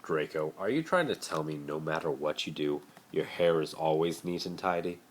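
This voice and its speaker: A teasing teenage boy in a story, cheeky with a sheepish streak, played by a man. The voice is low, close to the reader's own. It comes through a faintly noisy amateur phone recording. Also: lively intonation, normal speed.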